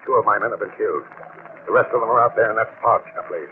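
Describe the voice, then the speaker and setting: An actor playing a tough, grim, commanding ship's captain in 1940s radio drama style. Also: male voice, on the low side, quick pace, narrow, tinny audio, lightly acted. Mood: threatening. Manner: forceful, commanding. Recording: thin, noisy vintage radio recording.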